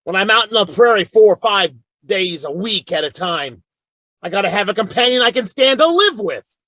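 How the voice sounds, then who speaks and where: A man's voice: high-pitched, big pitch swings, moderate pace, pushed and bright, no echo, exaggerated voice. A man playing a firm, loyal Old West marshal in a radio western, speaking in a frank, folksy, commanding way. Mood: firm, earnest. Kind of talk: old-time western radio drama acting.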